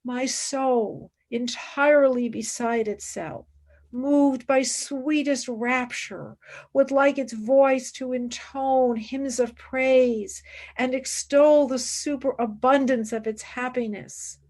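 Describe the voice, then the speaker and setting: Actress as slow, fervently devout woman mystic. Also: high-pitched, fairly even intonation, a little mellow, heavily acted. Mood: ecstatic, intense. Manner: intense, reverent, formal declamation. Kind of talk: radio play acting, monologue and dialogue.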